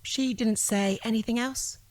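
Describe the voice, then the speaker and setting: A woman's voice: fairly high pitch, fairly even intonation, measured pace, no room sound, close to an everyday voice. An actress playing a suspicious, persistent wife questioning her husband in a naturalistic audio drama, in a controlled, sceptical, probing way.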